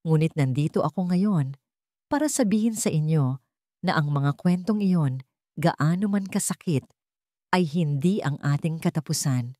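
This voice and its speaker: A resilient young mother-to-be in an audio drama , voiced by a woman narrator, clear and earnest. She speaks with honest conviction in a lowish voice, recorded dry. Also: lively intonation, a little slow, dark tone, no room sound.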